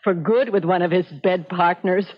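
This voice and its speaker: A woman's voice: lowish voice, normal speed, natural voice. An actress playing a suspicious, caustic, weary wife and mother in a 1970s radio drama. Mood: bitter. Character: bitter, suspicious, sharp-tongued wife and mother. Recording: muffled vintage radio recording.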